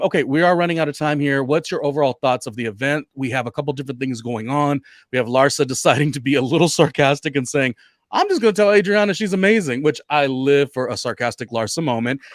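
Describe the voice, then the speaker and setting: A man hosts a casual reality TV recap podcast, animated and full of ums and restarts as he hedges his questions. His voice sits at a mid pitch and sounds close and dry, with no room around it. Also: fast, dry recording. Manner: hedging, animated, lots of fillers. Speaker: podcast host.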